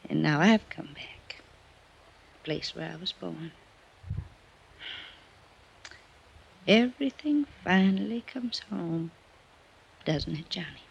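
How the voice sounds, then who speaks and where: A woman's voice: fairly low pitch, expressive, measured pace, gravelly, breathy edge, full character voice. An actress playing a frail, weary, wistful older woman in an old-time radio drama, lingering sentimentally over her words. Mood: weary, nostalgic. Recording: dull, noisy old radio recording.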